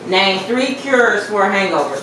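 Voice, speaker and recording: A woman's voice: mid-range voice, expressive, normal speed. Blunt woman far off in an echoey room. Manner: blunt, joking. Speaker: game night player. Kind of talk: casual party chat. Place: large dining room at a crowded table. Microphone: far camera. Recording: echoey room, far from the mic, loud noise.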